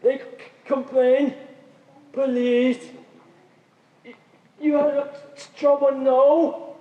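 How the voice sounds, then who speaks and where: A male actor playing a gentle, pitiable outcast Englishman, meek and hesitant, with a halting stammer. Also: very high-pitched, flat delivery. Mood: meek, pitying. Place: high school theater stage. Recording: muffled, echoey hall from far off.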